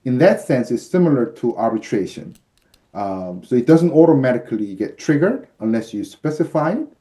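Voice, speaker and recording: A man in his fifties with a deep, mellow and very dark timbre explains legal procedure patiently over a video call. He pauses often on fillers, keeping his pace measured. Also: big pitch swings. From United States. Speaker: arbitrator and mediator guest. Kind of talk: explanatory interview answers. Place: office with shelves, indoors. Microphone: video call webcam.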